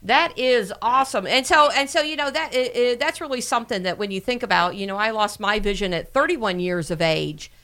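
A woman's voice: on the high side, lively intonation, brisk, few pauses, sharp tone. Chatty woman radio host. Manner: chatty, repeats words and fillers. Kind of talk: casual radio interview.

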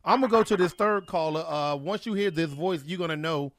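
Male call screener, slightly high voice, drawn-out teasing intros, dry sound. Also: a bit bright, no echo. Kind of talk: casual radio chat.

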